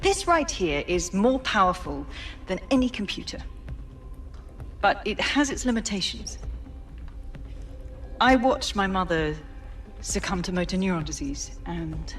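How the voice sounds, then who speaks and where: A woman's voice: medium pitch, animated, measured pace, long pauses, a bit bright, distant mic, faint music behind. An actress playing an assured, visionary female tech CEO giving a scripted keynote in a video game. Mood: earnest, intimate.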